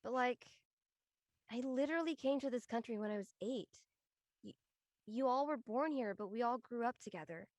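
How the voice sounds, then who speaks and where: An earnest, frank college-age woman played by an actress in an audio drama among friends. Her voice sits fairly high and is very dry, with a sincere, conversational delivery. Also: moderate pace, long pauses, darkish tone, no echo, close to an everyday voice. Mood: reflective, subdued.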